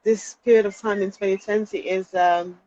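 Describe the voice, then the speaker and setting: A woman's voice: mid-range voice, fairly even intonation, moderate pace, mellow, dull audio. A young woman from the Netherlands, a trainee counselling psychologist, speaking thoughtfully as an interview guest from outdoors. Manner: thoughtful, with light laughs and hesitations. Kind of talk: casual interview chat. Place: outdoors during lockdown.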